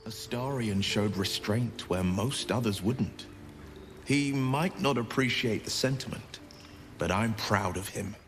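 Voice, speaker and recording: A man playing a sincere, steady adventuring companion in a fantasy video game. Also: on the low side, a little slow, soft background music. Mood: proud, sincere.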